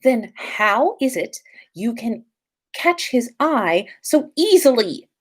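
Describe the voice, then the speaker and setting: A woman's voice: on the high side, sing-song, takes it slowly, slightly rough, heavily acted. A woman voicing a haughty, easily flustered teenage schoolgirl from a storybook, indignant and snobbish. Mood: jealous.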